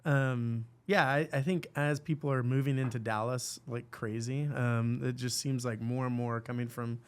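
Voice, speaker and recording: A man's voice: medium pitch, fairly even intonation, moderate pace, slight background noise. A man co-hosting a podcast, chatting casually and agreeably. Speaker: podcast co-host. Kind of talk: casual interview chat.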